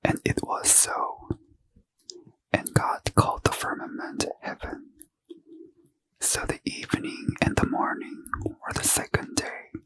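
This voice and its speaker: A man reading scripture in a slow, soft unvoiced ASMR whisper as a host. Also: no room sound, low-quality recording.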